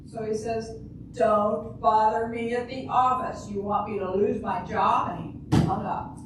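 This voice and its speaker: A woman's voice: a little high, fairly even intonation, unhurried, close to an everyday voice. An older woman in an amateur readers' theater playing a naive, blunt, literal-minded housewife and mother. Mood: earnest recounting. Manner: literal-minded, plain, ungrammatical phrasing. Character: naive, blunt housewife and mother, matter-of-fact about shooting husband. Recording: distant, very echoey, noisy room.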